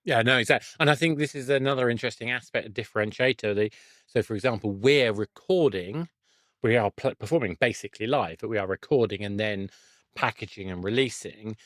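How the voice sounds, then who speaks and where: A man's voice: lowish voice, a little fast, a bit bright, no echo, clean studio sound. A middle-aged man hosting a casual interview, self-deprecating and rephrasing as he thinks aloud. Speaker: podcast host and interviewer.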